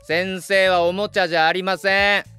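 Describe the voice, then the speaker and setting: A man acting an easygoing, well-meaning young high school teacher with a Kansai accent, mild and gently scolding. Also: flat delivery, normal speed, smooth. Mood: gently firm. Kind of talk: Japanese voice drama acting. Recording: music behind the voice.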